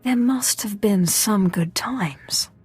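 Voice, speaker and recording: A woman's voice: mid-range voice, slow, a little mellow, slightly rough. An actress playing a confident young female Sith in a sci-fi video game, dry and teasing. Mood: probing. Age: young adult.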